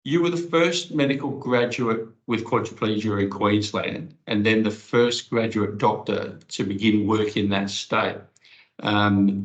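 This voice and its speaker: A man conducting an interview with prepared questions in a formal, careful, slightly halting way. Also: lowish voice. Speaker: interviewer, organisation CEO. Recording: dull, lo-fi audio.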